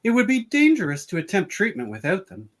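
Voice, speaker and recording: A performer playing a composed, careful starship doctor in a fan radio play, measured and explanatory. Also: mid-range voice, wildly swooping pitch. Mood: calm, hopeful.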